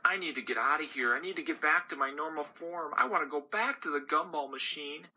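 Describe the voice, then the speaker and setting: A man's voice: brisk, pushed and bright, breathy edge, exaggerated voice. A man voicing a naive young male gumball who whines and frets in a raised voice. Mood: uneasy. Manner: high, whining complaints.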